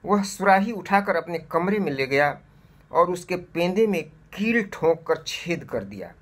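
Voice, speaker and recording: Slow, husky male storyteller. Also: high voice, animated. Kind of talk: scripted moral story narration for children.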